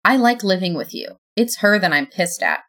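A woman voicing a tall, brash young woman yelling in screechy, angry bursts. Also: mid-range voice, big pitch swings, moderate pace. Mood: upset.

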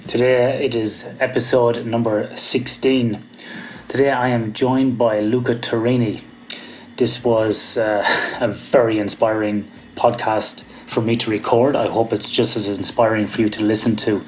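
A man's voice: medium pitch, flat delivery, measured pace, a little mellow, slight background noise, low-quality recording. A man with an Irish accent hosting a podcast, upbeat and encouraging as he introduces and questions his guest. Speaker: podcast host. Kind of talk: podcast interview. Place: home in Australia.

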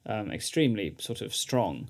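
A man's voice: fairly low pitch, animated, moderate pace. A man co-hosting a casual podcast, talking thoughtfully and stumbling over words now and then. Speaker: podcast co-host.

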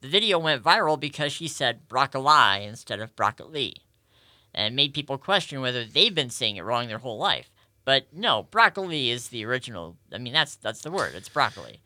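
Bright, lively man co-hosting a chat. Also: medium pitch, dry recording. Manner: playful, drawn-out exclamations. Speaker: podcast co-host. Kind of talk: casual chat.

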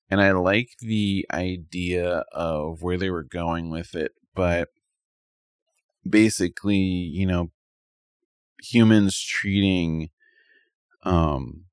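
A man's voice: sits low, dry recording, studio quality. A man co-hosting a podcast in casual chat, rambling a little and thinking aloud as he gives his opinions. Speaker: podcast co-host. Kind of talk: casual chat, reviewing anime.

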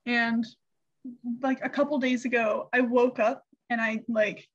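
A young woman chatting with a friend, wandering through her stories and laughing often. Her voice sits fairly high, and the sound is a little dull and muffled. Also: fairly even intonation, unhurried. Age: young adult. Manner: laughing, meandering storytelling. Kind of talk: casual chat.

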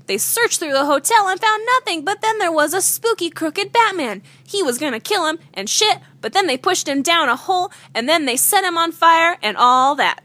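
A woman's voice: extremely high pitch, expressive, normal speed, few pauses, sharp tone, no echo. A woman co-hosting a comedy podcast, speaking in a slangy, mock-serious way, in casual banter and comic dramatic reading. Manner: giggly, easily cracks up. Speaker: podcast co-host.

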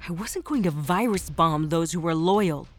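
A woman's voice: lowish voice, expressive, normal speed. A woman playing a tough, enigmatic exile in an audio drama, with a blunt, defiant, matter-of-fact manner. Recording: music under the voice.

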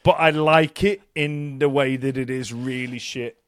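A man's voice: medium pitch, fairly even intonation, unhurried, a bit bright, no room sound. A man co-hosting a casual podcast, swearing, joking and rambling through his announcements into a close mic. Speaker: podcast co-host. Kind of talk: casual chat.

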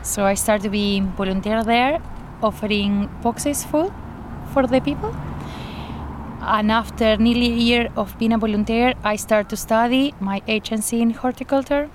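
A woman's voice: a little high, moderate pace. Argentine woman garden coordinator, earnest, light laughs, faint outdoor noise. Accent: Argentine Spanish. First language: Spanish. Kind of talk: interview, personal account.

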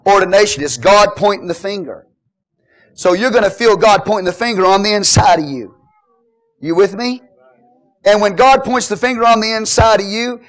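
A middle-aged man preaching a sermon as pastor, telling jokes and swinging from quiet asides to loud exhortation. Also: high voice.

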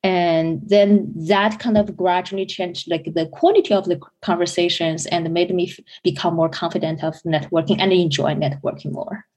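A woman's voice: on the low side, fairly even intonation, normal speed, few pauses, darkish tone. A young woman from China, a business school student answering interview questions in a chatty way with many fillers and restarts. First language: Chinese.

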